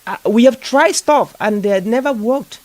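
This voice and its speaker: A man's voice: extremely high pitch, moderate pace, dry recording. A man with an African accent hosting a conversational interview, speaking earnestly and repeating phrases for emphasis. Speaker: podcast host.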